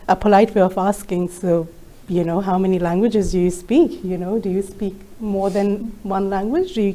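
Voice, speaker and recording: Mellow low woman, Urdu native. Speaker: panellist. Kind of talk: casual panel discussion.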